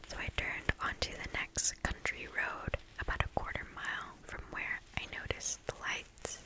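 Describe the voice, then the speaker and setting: A woman whispering softly and close to the microphone, reading stories aloud in an ASMR style with hushed, deliberate phrasing and pauses. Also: low-quality recording. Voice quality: soft unvoiced whisper.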